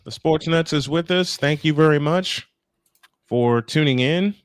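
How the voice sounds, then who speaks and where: A man's voice: fairly high pitch, unhurried, clean tone. A man calling a baseball game live as play-by-play host, slipping between commentary and casual chat.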